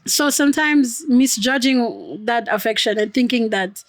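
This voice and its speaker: Podcast guest, Amharic-young woman, high pitch, laughs a lot. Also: unhurried, no room sound. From Ethiopia. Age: 20s. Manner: lively, laughs often, many "like" fillers. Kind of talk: casual interview chat.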